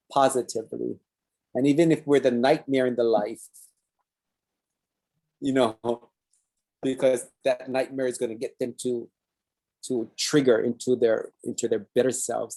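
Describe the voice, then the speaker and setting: A man's voice: mid-range voice, creaky, mellow. A man with a Hawaiian Pidgin accent hosting a talk show, chatting loosely and reading viewer comments aloud with Hawaiian words mixed in. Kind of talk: casual live-stream sign-off chat.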